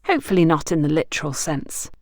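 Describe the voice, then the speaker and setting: A woman's voice: sits low, very animated, fast talker, a little mellow, no room sound, studio quality, voice pushed down. A woman narrator voicing a frank, teasing female confidante who gives knowing advice in an audiobook. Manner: playful, knowing, instructive. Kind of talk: audiobook character dialogue.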